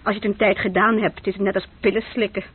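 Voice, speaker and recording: Woman acting a husky, scornful woman. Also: mid-range voice, level tone, quick pace, close to an everyday voice. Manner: taunting, commanding. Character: scornful, clear-headed woman defying her companion. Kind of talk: radio drama acting. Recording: muffled, tinny old radio-play recording.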